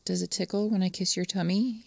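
A woman playing an affectionate, flirtatious lover in an intimate adult audio roleplay, breathy and often dropping into whispers. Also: mid-range voice, steady tone, a little mellow, no room sound. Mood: sensual, teasing. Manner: slow, lingering, tender delivery with pet names.

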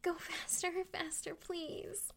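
Female voice for intimate adult audio roleplay: high-pitched, breathy, frequently whispered, with very slow delivery. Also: little pitch movement, fairly bright tone. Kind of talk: intimate erotic audio roleplay, addressing a partner.

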